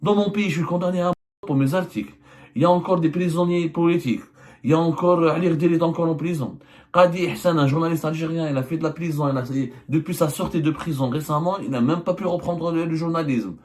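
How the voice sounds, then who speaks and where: A man's voice: fairly high pitch, fast, a little mellow. A man, a journalist and channel host delivering a political commentary monologue, emphatic and full of rhetorical questions. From Algeria. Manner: hesitant fillers and restarts.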